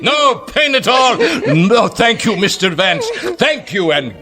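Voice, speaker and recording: Devoted husband in an old drama, super emotional, highish voice that goes all over the place. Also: male voice, very animated, slow, over music, full character voice. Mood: exultant. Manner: emotional, impassioned, repetitive pleading. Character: ailing old husband, devoted, restored to exuberant youth. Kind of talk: vintage television drama acting.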